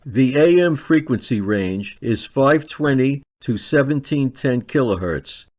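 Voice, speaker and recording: A man narrates a product review at a markedly slow pace. His pitch sits in the middle range, and he goes through each point methodically, like a scripted set of instructions. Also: expressive, a little mellow. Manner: methodical, step-by-step delivery.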